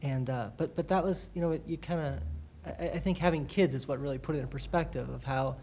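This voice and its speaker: A man from a theatre company, speaking reflectively to an audience, with many restarts and halting phrases. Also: fairly high pitch, creaky, dark tone, slight background noise. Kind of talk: casual talk to an audience. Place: public library meeting room.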